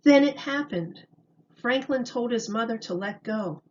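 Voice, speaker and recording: A woman reading a children's story aloud like a teacher, in a deliberate, expressive way. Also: fairly high pitch, dull audio, slight background noise.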